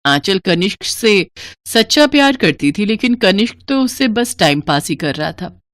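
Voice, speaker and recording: A woman's voice: mid-range voice, big pitch swings, moderate pace, a bit bright, no echo, studio quality. A woman narrating a scripted family story in a dramatic storytelling style.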